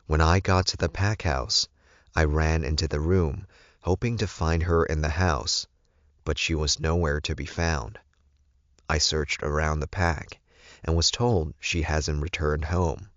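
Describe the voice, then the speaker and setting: A man's voice: rumbling low, steady tone, unhurried, dry recording, dull audio. A man reading first-person fiction aloud as a scripted audiobook narration.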